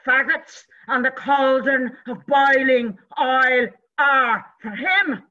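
A woman's voice: high voice, takes it slowly, bright, forceful tone. A woman giving a declamatory dramatic reading on a video call, at times dropping to a whisper. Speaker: reader of a dramatic passage. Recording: dull lo-fi video call audio.

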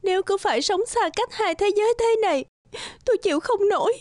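A woman's voice: extremely high pitch, lively intonation, a little fast, darkish tone, no room sound, clean studio sound. A woman voicing a sorrowful, loving young village wife in a fairy-tale cartoon, plaintive and tearful. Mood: tearful, pleading. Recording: music under the voice.

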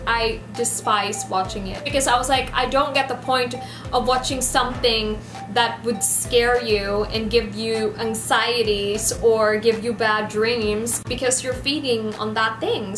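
A 27-year-old woman from the Philippines with a Filipino accent and Ilonggo as her first language, chatting casually to camera in an animated way as she lists facts. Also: fairly high pitch. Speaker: vlogger. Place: small decorated room indoors. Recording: roomy echo, music behind.

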